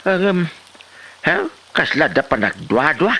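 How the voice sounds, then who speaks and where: A man's voice: a little high, huge pitch swings, takes it slowly, vocal fry, pushed and bright, breathy edge, exaggerated voice. An actor playing a middle-aged, doubtful and stern father of a grown son in an Ilocano comedy drama, with an Ilocano accent.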